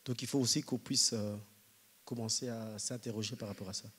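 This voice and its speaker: A man from Rwanda speaking as an audience member at a public debate, hesitantly, pausing to find his words. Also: fairly low pitch, fairly even intonation, pushed and bright, husky. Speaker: audience member asking a question. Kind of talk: public question-and-comment at a debate.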